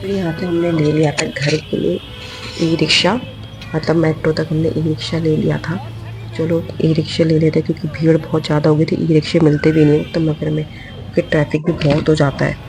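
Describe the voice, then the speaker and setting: A hoarse woman vlogger chatting casually in a voice-over about a shopping trip, repeating phrases for emphasis. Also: low-pitched, flat delivery, fairly quick, music in the background, low-quality recording.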